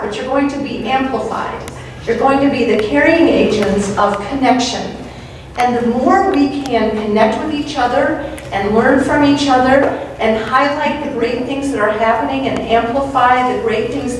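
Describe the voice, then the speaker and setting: Earnest woman welcoming a crowd in an echoey hall. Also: fairly high pitch. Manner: earnest, repeats key words for emphasis. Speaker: event organizer opening a summit. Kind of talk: welcoming speech. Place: large library event room. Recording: very echoey hall, distant mic, faint hiss.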